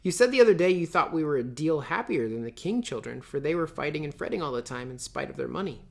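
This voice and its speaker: Man voicing a gentle, peacemaking young girl. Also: a little high, animated, fast, creaky, pitched above the performer's own voice. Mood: mild. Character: gentle, shy peacemaking teenage sister, mild and dear.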